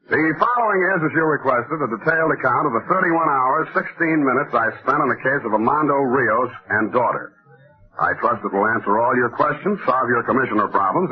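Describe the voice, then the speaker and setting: Actor as a wry hard-boiled American private eye, muffled old radio. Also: male voice, mid-range voice, natural voice. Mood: breezy, cocky. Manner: wry, glib, wordy. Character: wisecracking hard-boiled male private detective, cocky and flirtatious. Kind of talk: old-time radio detective acting, dictation and narration. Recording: dull lo-fi old radio recording.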